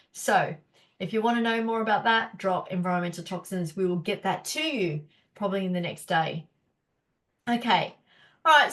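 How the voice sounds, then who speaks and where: A woman's voice: on the high side, fairly bright tone. A woman, a naturopath hosting a casual live teaching session, chatty and encouraging, her nose stuffy and blocked up. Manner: chatty, encouraging, many fillers.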